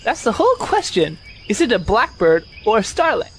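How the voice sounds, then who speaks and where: A woman playing a bossy, self-assured male rabbit who gives brisk, officious instructions in a children's storybook audio drama. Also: a little high, very animated, a little hiss or hum. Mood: urging.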